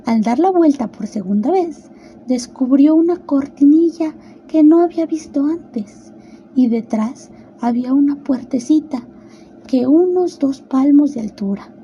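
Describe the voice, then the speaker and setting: A woman's voice: sits high, mellow, muffled recording, slight background noise. A woman narrating a scripted children's storybook tale as a radio drama narrator.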